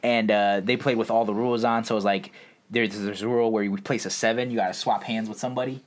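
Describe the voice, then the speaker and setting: A man's voice: on the low side, fairly even intonation, quick pace. A man co-hosting a podcast, chatting casually with plenty of bro slang and swearing. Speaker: podcast co-host. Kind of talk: casual chat.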